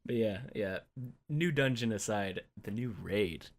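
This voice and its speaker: A man's voice: lowish voice, expressive, a little slow. A man co-hosting a gaming podcast, chatting casually and breaking into laughter often. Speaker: podcast co-host.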